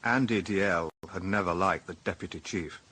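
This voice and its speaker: An actor playing a wry British police inspector who narrates a radio drama in a dry, reflective way. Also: male voice, deep voice, moderate pace, noisy background, natural voice. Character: wry male police inspector narrating his own case.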